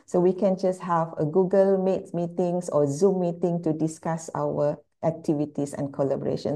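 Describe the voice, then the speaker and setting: Female conference speaker, Malaysian accent, mellow tone with gravelly fry, explanatory lecture delivery. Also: on the low side. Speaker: university speaker at an online conference. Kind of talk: plenary presentation, lecture.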